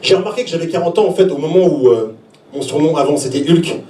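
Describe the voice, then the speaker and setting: A man's voice: on the high side, quick pace. A man in his forties performing stand-up comedy on stage, exclaiming, acting out little scenes and talking straight to the audience. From Switzerland. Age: 40s. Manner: animated, exclamatory, acts out little scenes and reactions; talks directly to the audience. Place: small comedy venue open stage, indoors. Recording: echoey live room, distant mic.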